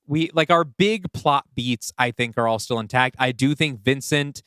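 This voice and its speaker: A man's voice: mid-range voice, moderate pace, fairly bright tone, smooth, dry recording, clean studio sound. A man co-hosting a podcast, chatting casually and thinking aloud as he works through story ideas, with lots of "like" along the way. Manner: thinks aloud, often says "like". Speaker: podcast co-host and writer. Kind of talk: casual brainstorming chat.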